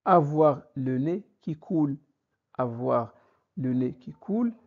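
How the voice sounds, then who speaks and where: Male teacher, unhurried and methodical, repeats phrases for learners, slightly muffled recording. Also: fairly high pitch, lively intonation. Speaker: French teacher. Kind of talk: slow vocabulary lesson. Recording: dull lo-fi recording.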